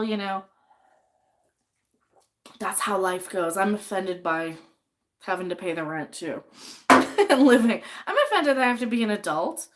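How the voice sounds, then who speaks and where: A young adult woman hosting a casual livestream while she cooks, talking bluntly, swearing freely and rambling, a little sniffly. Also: mid-range voice. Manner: reads chat names aloud and answers them. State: a bit sniffly. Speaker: livestream host.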